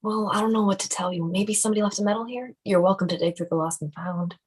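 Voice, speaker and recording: A woman playing a dismissive, matter-of-fact video-game character with a husky voice in an animated film table read. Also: medium pitch, fast talker, natural voice.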